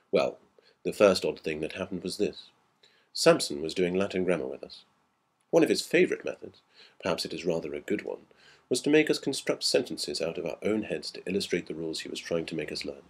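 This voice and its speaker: A man reading a ghost story dramatically, in a measured and reflective storytelling manner. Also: deep voice, slightly rough. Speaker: audiobook reader.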